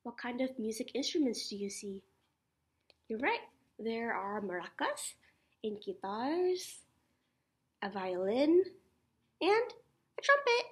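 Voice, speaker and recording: A young woman teacher reading a children's picture book aloud in an animated, instructive way. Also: fairly high pitch, takes it slowly, long pauses. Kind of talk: children's picture-book read-aloud with sing-song refrains. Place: small indoor classroom.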